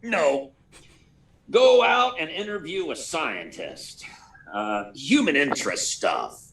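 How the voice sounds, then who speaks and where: Man acting a flustered, gruff old-time newspaper chief, swooping pitch. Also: sing-song, slow, fairly bright tone, exaggerated voice. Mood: gruff, hurried. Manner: stammering, flustered, clipped orders. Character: harried, gruff male newspaper editor in chief. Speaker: actor in a live radio play. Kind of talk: 1940s radio serial acting. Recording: distant, far from the mic.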